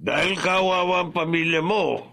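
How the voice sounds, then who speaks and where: Construction boss in a radio drama, stern yet sympathetic, measured and gravelly. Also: male voice, fairly high pitch, loud music behind the voice. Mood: firm, regretful. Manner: stern but sympathetic, matter-of-fact. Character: firm, regretful construction foreman, adult man.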